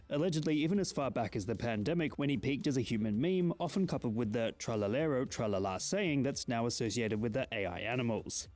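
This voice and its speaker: A man narrating an explainer video in a measured, scripted style. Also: mid-range voice, a little mellow.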